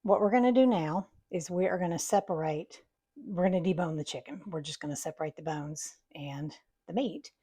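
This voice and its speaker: A woman, hosting a home cooking lesson with a chatty step-by-step manner; her voice is raspy from allergies and she breathes heavily. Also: on the low side, fairly quick, mellow. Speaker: home cooking channel host. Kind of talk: casual cooking demonstration. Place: home kitchen.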